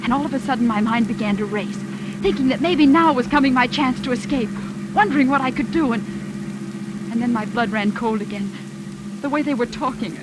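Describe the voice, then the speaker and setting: An American actress playing a frightened, spirited young captive in a 1940s radio drama, breathless and emotive. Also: female voice, on the high side, fairly quick, close to an everyday voice. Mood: tense recounting. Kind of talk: 1940s radio acting, dramatic first-person narration. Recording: noisy 1940s radio recording, rain behind. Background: rain and vehicle sounds.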